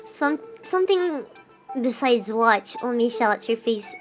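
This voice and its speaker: A woman streamer with a fairly high voice reads a dark visual novel aloud on a relaxed livestream. She slips between steady narration and chatty, giggly asides, with soft game music under her. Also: slow pace. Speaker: VTuber streamer.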